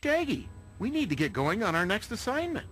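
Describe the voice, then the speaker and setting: Cartoon game hero: earnest young leader, bright-eyed explainer with high, very animated pitch. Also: male voice, a little fast. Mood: directing. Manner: clean-cut, explanatory, take-charge. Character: earnest, confident young male mystery gang leader. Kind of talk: cartoon mystery game acting.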